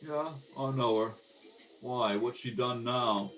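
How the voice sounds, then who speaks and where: A man playing a defensive, evasive ex-convict fry cook in an amateur radio crime drama. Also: mid-range voice, slow, fairly bright tone. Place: at a kitchen table. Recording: slightly distant home microphone.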